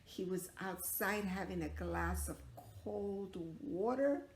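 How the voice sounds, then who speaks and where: A woman leads storytime for young children at an unhurried pace. She asks questions as she goes and acts out the sounds. The room echoes and there is some noise behind her. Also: medium pitch. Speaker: teacher telling stories to young children. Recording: roomy echo, clear background noise.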